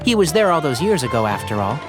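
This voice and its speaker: An actor playing a calm young man in a video game, explaining things plainly. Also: a little high, fast, over music.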